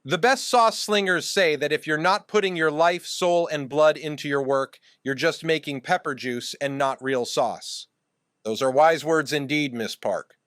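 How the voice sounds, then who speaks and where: A man playing an eccentric, stoic oddball in an audio drama, speaking with formal, earnest deadpan. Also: fairly high pitch, unhurried, clear, resonant tone, dry recording, studio quality. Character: eccentric, stoic male hot-sauce maker in a trailer.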